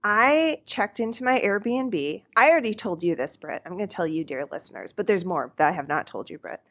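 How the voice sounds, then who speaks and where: A woman's voice: mid-range voice, brisk. A woman co-hosting a podcast, telling a story in casual chat, acting out what people said, recorded in a hotel room on a tiny clip-on mic. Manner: animated storyteller, acts out quotes. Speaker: podcast co-host. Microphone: tiny clip-on mic rubber-banded to a bigger mic.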